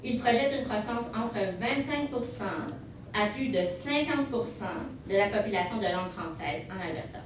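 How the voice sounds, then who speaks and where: Woman lecturing in echoey hall. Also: a little high. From Montreal, Quebec. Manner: measured, with frequent hesitations and restarts. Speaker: lawyer presenting at a conference. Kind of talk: prepared legal presentation. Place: large banquet hall. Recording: echoey hall, distant mic, background noise.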